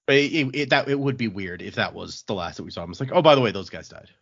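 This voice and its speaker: A man's voice: on the low side, expressive, fast talker, vocal fry, a bit bright, slightly rough, muffled recording. A man hosting a podcast, chatting casually through a discussion of a book. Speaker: podcast host.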